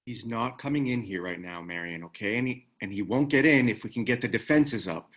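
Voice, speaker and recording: An actor in a screenplay table read playing a loyal, steady ex-military pilot with an understated, wry, casual manner. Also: male voice, on the low side, a little fast, close to an everyday voice. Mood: reassuring.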